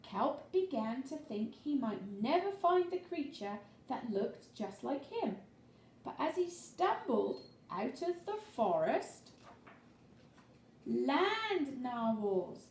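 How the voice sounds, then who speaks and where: A woman tells a children's story in a very animated, sing-out read-aloud style. She goes slowly and stretches words for emphasis, with some creak in the voice. The living room is echoey. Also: high-pitched. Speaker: storyteller reading a children's picture book. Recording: echoey living room, faint background noise.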